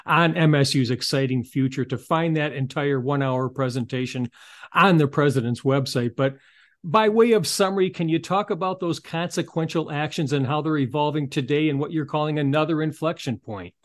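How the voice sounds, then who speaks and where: A man's voice: on the high side, moderate pace. A man hosting an interview show, giving polished, supportive segues and prompts with a promotional touch.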